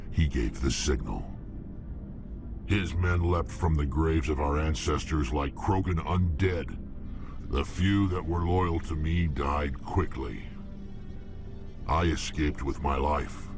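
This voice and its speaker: An actor playing a hardened, blunt male alien mercenary warrior with a gruff, world-weary manner, in a video game. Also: very deep voice, full character voice. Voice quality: gravelly. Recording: game audio with music behind.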